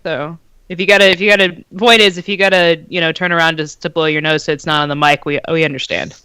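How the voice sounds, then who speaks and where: A man's voice: a little high, level tone, quick pace, pushed and bright, clean tone, dry recording. A young man on a casual podcast, telling rambling stories and laughing often. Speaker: podcast co-host. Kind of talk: casual chat.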